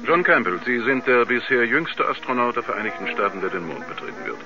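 An actor playing an eager press reporter in a 1970s radio drama, asking questions briskly and formally. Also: male voice, mid-range voice, very animated, music in the background. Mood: eager, curious. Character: eager male press reporter interviewing an astronaut. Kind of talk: 1970s radio play interview. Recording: dull, band-limited radio recording.

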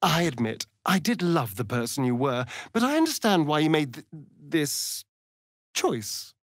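An actor playing a sardonic, flamboyant, seductive vampire rogue in a fantasy video game, with an arch, theatrical drawl. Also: male voice, on the high side, big pitch swings, a little slow, no room sound, clean studio sound. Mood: hurt, resigned.

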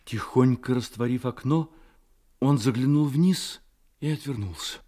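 A man narrating a literary radio drama as an expressive, measured storyteller. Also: mid-range voice, close to an everyday voice. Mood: hushed suspense. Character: storyteller of a boy's secret adventure.

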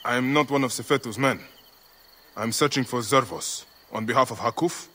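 An actor playing a determined ancient Egyptian avenger in a video game, terse and earnest. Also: male voice, medium pitch, normal speed, bright, forceful tone, husky. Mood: guarded. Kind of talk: video game cutscene acting.

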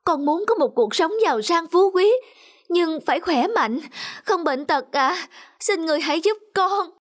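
A woman's voice: extremely high pitch, animated, moderate pace. A woman voicing a greedy, whiny poor young man in a fairy-tale cartoon, exclaiming and pleading. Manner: exclamatory, pleading, stammering in alarm. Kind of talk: animated fairy-tale dubbing.

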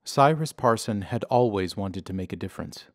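Low gravelly male story narrator. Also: lively intonation, moderate pace, background noise. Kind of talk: scripted audio drama narration. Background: music.

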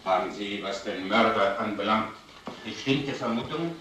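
An actor playing a composed, genial police commandant, courteous and official, in an old crime drama. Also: male voice, lowish voice, normal speed, bright, forceful tone. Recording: roomy old soundtrack, some noise.

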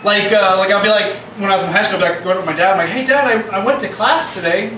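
A young man doing a stand-up set of deadpan puns at an open mic, then answering interview questions in a casual way. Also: sits high, fast, a crowd in the background. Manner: deadpan punning, sings snatches of songs. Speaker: stand-up comedian and interview guest. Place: small open-mic club stage, indoors. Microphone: handheld mic, filmed by a far camera. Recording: roomy far-off camera audio with background noise.

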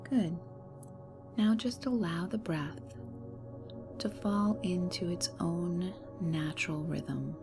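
A woman leading a guided meditation in a soft, breathy, soothing and unhurried way. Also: on the low side. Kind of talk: slow guided meditation narration. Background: meditation music.

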